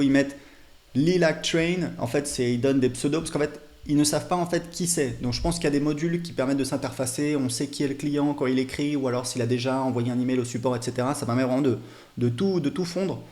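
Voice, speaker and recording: A man with a mid-pitched, mellow voice talks through an on-screen demo like an online coach. His delivery is casual and unscripted. He phrases things loosely, often hesitating and leaning on small filler words. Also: fairly quick. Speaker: online business coach presenting a software demo.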